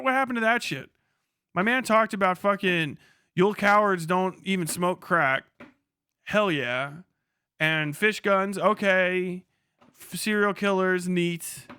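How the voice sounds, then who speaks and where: A man's voice: sits high, sharp tone, clear, resonant tone, dry recording. A man hosting a livestream with casual, slangy, profanity-laden commentary. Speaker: livestream host.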